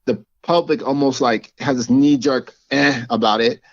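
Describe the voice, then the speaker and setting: A man's voice: medium pitch, steady tone, moderate pace, dry recording, muffled recording. A man, an author and teacher, talking thoughtfully in a casual conversation, often restarting his sentences.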